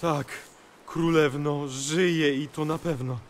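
A man's voice: fairly high pitch, fairly even intonation, takes it slowly, a little hiss or hum. A man playing an eager, dutiful royal attendant in a rhymed fairy-tale audio play. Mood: reporting.

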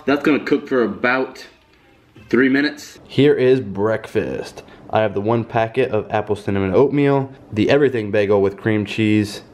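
Young man, twenties, low voice, step-by-step casual vlog talk, small kitchen, camera mic. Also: moderate pace, darkish tone, soft background music. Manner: plain step-by-step explaining. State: stuffed up with a cold. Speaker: fitness vlogger. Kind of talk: casual how-to vlog narration. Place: small home kitchen and bedroom, indoors.

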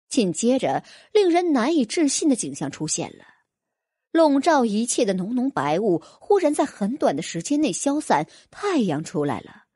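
A woman narrates a fantasy audiobook with lively, swinging intonation. Her voice sits slightly high and has a breathy huskiness. The delivery is dramatic and scripted, recorded close and dry. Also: no room sound.

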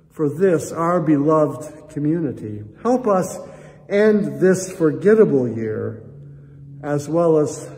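An older American man, a pastor, speaking solemnly and earnestly in measured phrases as he leads prayer and appeals to a congregation. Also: fairly high pitch, dark tone, a little hiss or hum. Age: 60s or older. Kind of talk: church prayer and offering appeal. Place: church sanctuary, indoors. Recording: reverberant church hall echo.

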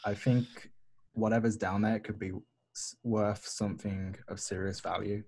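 A man's voice: lowish voice, little pitch movement, moderate pace, warm tone, slight background noise. A man speaking casually and in character as a player in a tabletop game, with a teasing manner.

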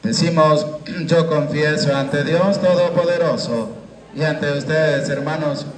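Man, priest, 30s, leading prayers, slow deliberate cadence, handheld mic, echoey small chapel. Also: a little high. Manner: slow, measured liturgical cadence. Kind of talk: liturgical prayer and Mass leading. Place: small chapel with curtained walls. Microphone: handheld microphone.

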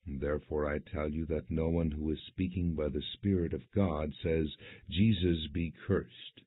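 A man reading scripture as an audiobook narrator, in a measured, solemn, husky voice. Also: extremely low pitch, steady tone, dry recording. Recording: dry, narrow phone-like sound.

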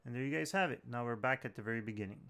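A man's voice: mid-range voice, racing, a bit bright, smooth. A man hosting a tech tutorial, talking viewers through steps in an informal, plain-spoken way. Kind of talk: casual step-by-step tutorial narration.

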